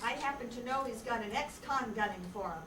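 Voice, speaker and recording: A woman's voice: on the high side, fairly quick, natural voice. Woman as a brash detective in an echoey room. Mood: urgent. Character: brash, bossy hard-boiled detective, slangy and wry. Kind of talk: amateur radio detective play reading. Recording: far, echoey room with clear noise.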